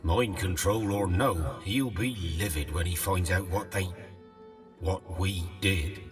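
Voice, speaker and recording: Deep, glum ghost henchman. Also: takes it slowly, recorded from a distance, soft background music. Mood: glum resignation. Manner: rough colloquial speech. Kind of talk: video game voice acting.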